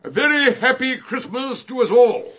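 An actor playing an irritable, upper-class older English colonel in a radio drama, gruff and spluttering. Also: male voice, very high voice, expressive, normal speed, loud music behind the voice. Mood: hearty. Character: blustering, irritable upper-class old colonel, jovial host.

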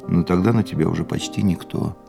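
A low-voiced, world-weary racing driver in a radio play, with a gravelly creak. He speaks in a measured, reflective way, like a philosophical fatalist, over music. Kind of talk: Russian radio drama acting.

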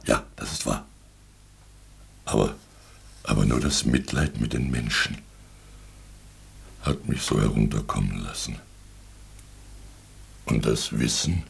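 An actor in a German radio play playing a drunken, down-at-heel lawyer who rambles, preaches bitterly and slides into slurred muttering. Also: male voice, extremely low pitch, gravelly, slightly rough, full character voice. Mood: maudlin, self-pitying. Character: drunken, down-at-heel Russian lawyer, bitter self-styled prophet.

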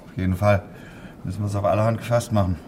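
With faint noise behind, a man plays a wry army officer in a radio drama. His voice is fairly low, casual and easygoing. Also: fairly even intonation, very fast, a little mellow, natural voice. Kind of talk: radio play acting. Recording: faintly noisy radio-play recording.

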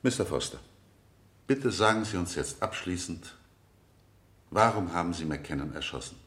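Male interrogating lawyer, deep and expressive, formal, measured radio drama delivery. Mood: formal, probing. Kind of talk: 1970 German radio play acting.

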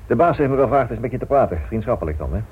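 Quick, husky, fussy police boss. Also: male voice, medium pitch, animated. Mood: placating. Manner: hesitant, placating, mildly grumbling. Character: fussy, evasive senior police inspector, smoothing things over. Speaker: actor in a radio play. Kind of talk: radio drama acting. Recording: muffled, narrow-band old radio recording.

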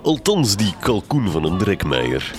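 A man's voice: lowish voice, huge pitch swings, normal speed, faint music behind. A man with a Flemish accent playing a pompous, sardonic, scheming doctor with florid speech in a gothic comedy radio drama. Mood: sardonic gossip. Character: pompous, scheming doctor, grandmaster of a secret society.

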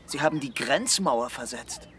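An actor playing a farmer's angry, rough-tongued child, grown into farm work, in a radio drama. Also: mid-range voice, animated, moderate pace, a bit bright, lightly acted. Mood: alarmed, angry.

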